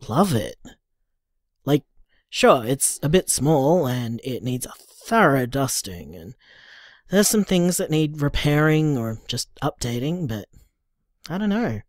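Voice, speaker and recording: Earnest young Australian boyfriend, close dry sound. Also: male voice, fairly high pitch, dry recording. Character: affectionate young boyfriend, earnest and gently rambling. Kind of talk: audio drama played as voicemail messages.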